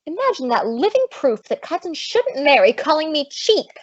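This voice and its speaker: Brassy slangy american gal, high voice, wisecracking, muffled old radio show. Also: female voice, big pitch swings, normal speed. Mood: indignant, sassy. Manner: slangy, sassy. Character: brassy, wisecracking young American showgirl, big-hearted and feisty. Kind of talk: 1950s radio comedy acting. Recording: dull old-time radio recording.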